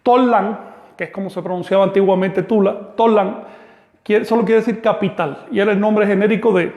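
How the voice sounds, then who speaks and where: A man giving an online language lesson, explaining terms slowly and deliberately. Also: high voice, steady tone, lo-fi sound. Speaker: language teacher. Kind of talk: online lecture. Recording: echoey room.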